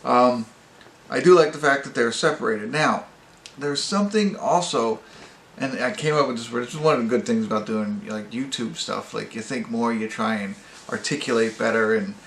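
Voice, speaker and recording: A man hosting a casual, unscripted movie review, talking in a halting, rambling way and often correcting himself. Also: medium pitch, vocal fry, fairly bright tone. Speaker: movie review channel host. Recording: echoey room with faint noise.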